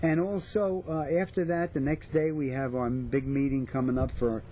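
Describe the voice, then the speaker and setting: A man's voice: medium pitch, level tone, normal speed, mellow, a little hiss or hum. A man, an actor chatting casually as an interview guest. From New York/New Jersey area.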